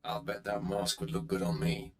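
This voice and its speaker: A man playing an arrogant, blunt young dark-side warrior initiate in a video game, curt and dismissive. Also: deep voice, steady tone, normal speed, echoey room, close to an everyday voice. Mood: defiant, cocky. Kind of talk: scripted video game voice acting.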